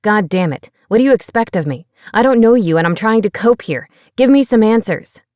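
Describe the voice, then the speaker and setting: A woman's voice: sits low, normal speed, dry recording, studio quality. A woman reading voicing a bitter, newly cloned man who lashes out in anger. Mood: pleading, frustrated. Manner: angry, forceful.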